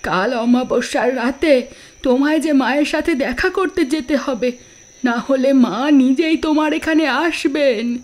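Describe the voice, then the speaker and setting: Eerie high-voiced old village woman, acted by a woman. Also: a little mellow. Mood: ominous summons. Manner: slow, ominous, drawn-out. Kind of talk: horror audio drama acting.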